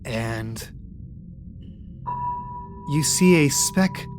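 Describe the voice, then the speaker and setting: Gm narrating spooky dream stuff, calm and steady, music in the back. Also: medium pitch, extremely slow. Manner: calm, eerie descriptive narration. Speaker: game master. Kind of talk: narrating a tabletop game.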